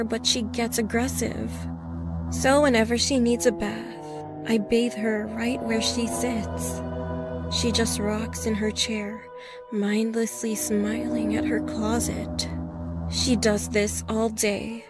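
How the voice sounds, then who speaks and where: A woman narrating a scary story in the first person. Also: a little high. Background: prominent background music.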